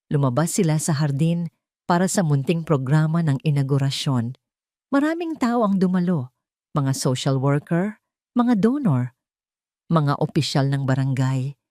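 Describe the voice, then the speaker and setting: A woman's voice: low voice, dark tone, no room sound, studio quality. A woman with a Filipino accent narrating a dramatic scripted audio story.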